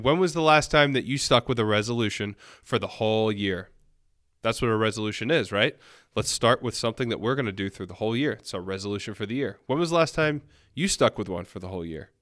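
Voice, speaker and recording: Bright-voiced man, nasal with a cold. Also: medium pitch, dry recording, clean studio sound. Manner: upbeat, earnest, encouraging. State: has a cold, a bit nasal. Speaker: podcast host. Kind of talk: solo motivational podcast talk.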